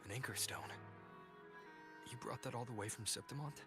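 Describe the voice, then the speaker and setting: A man's voice: medium pitch, normal speed, fairly bright tone, faint music behind, lightly acted. A man playing a noble, resolute adventurer in a video game, speaking with earnest, declarative encouragement. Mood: calm inquiry. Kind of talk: dramatic video game voice acting.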